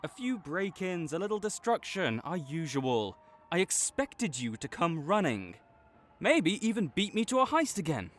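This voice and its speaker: A man's voice: high voice, very animated, a little slow. A man acting a cocky, teasing but secretly caring supervillain rival in a dramatic audio roleplay, bantering and exasperated. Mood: taunting, impatient. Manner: bantering, exasperated, faltering into awkward concern.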